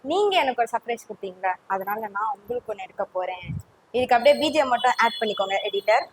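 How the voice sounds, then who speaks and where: A woman's voice: a little high, expressive, fast, slight background noise, low-quality recording. A woman hosting a challenge show in casual, playful chat with a teasing, informal manner.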